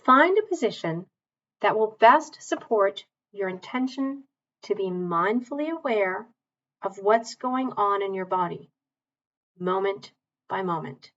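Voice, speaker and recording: A woman teaching mindfulness, leading a guided body scan in a calm, measured, gentle way with long pauses. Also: mid-range voice, lo-fi sound.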